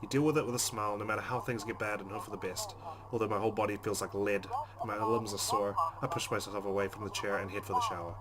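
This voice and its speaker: A man's voice: fairly low pitch, steady tone, fast. A man hosting a let's play, reading visual novel narration aloud in a dramatic way. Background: game music.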